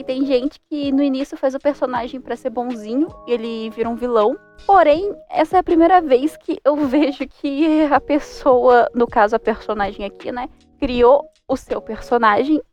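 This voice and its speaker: A young woman with a Brazilian accent giving a casual, informal and expressive review commentary. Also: high voice, muffled recording. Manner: informal, expressive, exclaiming in mock disbelief. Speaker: manhwa reviewer. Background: faint music.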